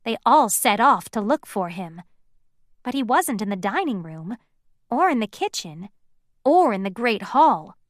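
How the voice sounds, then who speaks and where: A woman narrating a children's read-aloud storybook in an animated, deliberate way, as one who acts out the dialogue. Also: fairly high pitch, no room sound, clean studio sound.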